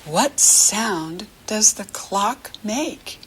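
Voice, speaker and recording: An American woman teaching young children through a picture book, speaking to the child directly in simple, encouraging phrases with pauses. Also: mid-range voice, expressive, fairly bright tone, a little hiss or hum.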